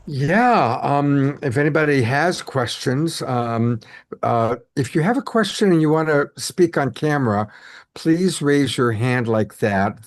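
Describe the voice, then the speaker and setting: A man's voice: mid-range voice, dry recording. A man hosting a live show, hesitant and full of ums as he explains the next steps. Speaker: host.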